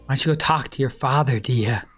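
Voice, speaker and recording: A woman's voice: very deep voice, brisk, over music. A woman game streamer reacting casually to gameplay with slangy, joking commentary.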